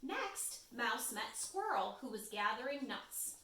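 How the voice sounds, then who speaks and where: A woman's voice: fairly high pitch, big pitch swings, slow, pushed and bright. A woman reading a children's storybook aloud expressively, as a story-time reader. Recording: roomy echo, distant microphone.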